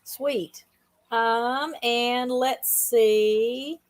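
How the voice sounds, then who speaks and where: A woman hosts a casual interview in a high-pitched voice. She is informal and easygoing, encouraging whoever she is questioning. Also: steady tone, extremely slow, no room sound. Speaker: interviewer, host. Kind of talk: casual chat.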